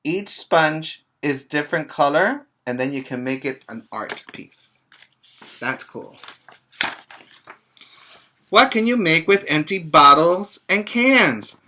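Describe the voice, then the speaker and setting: A middle-aged man, a preschool teacher, reading and explaining to young children in a simple, expressive way with short asides. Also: a little high, slow, long pauses, slight background noise. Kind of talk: reading a children's book aloud and chatting to young pupils. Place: small room, indoors. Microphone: webcam or laptop mic at arm's length.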